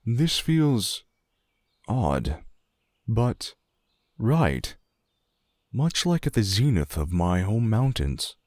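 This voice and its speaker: Fantasy druid wanderer, male actor: very deep, formal, musing, expressive melody. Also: very slow, pauses often, no echo, clean studio sound, heavily acted. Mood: contemplative. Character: contemplative, formal male druid traveler from the mountains. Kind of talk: fantasy audio drama acting.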